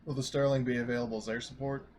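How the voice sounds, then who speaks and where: A man's voice: mid-range voice, level tone, rapid-fire, darkish tone, slight background noise. A man chatting casually as a player in a tabletop roleplaying game.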